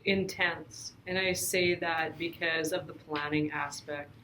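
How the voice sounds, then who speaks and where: A woman from Canada, a field programs coordinator, explaining her work in a brisk, emphatic way. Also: deep voice, fairly even intonation, pushed and bright, slight background noise, low-quality recording. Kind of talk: casual podcast interview.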